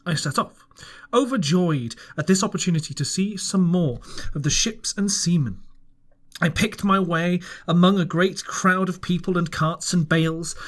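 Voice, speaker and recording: A man narrating a classic novel as an audiobook, reading in the first person with earnest expression and occasional restarts. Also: fairly high pitch. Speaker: audiobook reader.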